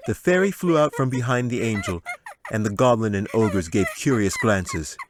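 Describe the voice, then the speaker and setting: A man's voice: lowish voice, lively intonation, moderate pace, noisy background. A man narrating a fantasy audiobook in a dramatic, scripted storytelling manner. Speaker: author reading his audiobook.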